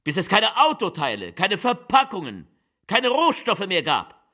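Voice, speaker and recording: An actress playing a solemn, stirring political leader who declaims a theatrical speech in weighty, measured phrases. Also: female voice, mid-range voice, huge pitch swings, pushed and bright, full character voice. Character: female political leader, solemn, stirring, coldly militant orator.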